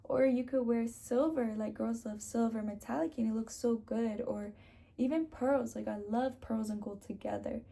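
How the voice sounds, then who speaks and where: A woman's voice: on the high side, fairly even intonation, moderate pace, gravelly, dark tone. A young woman with a sore throat, chatting casually to camera as a beauty vlogger and sprinkling her talk with "like".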